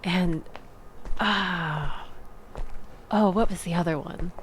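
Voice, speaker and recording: A woman's voice: lowish voice, slow, slight background noise. A woman playing a chatty, exasperated young woman in a casual audio roleplay, rambling and self-deprecating as she tells her story. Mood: incredulous, animated. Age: young adult.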